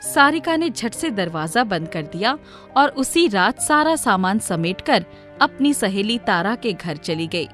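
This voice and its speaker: A woman's voice: mid-range voice, very animated, a little slow, a bit bright. A woman narrator reading a scripted story for children. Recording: music playing behind the voice.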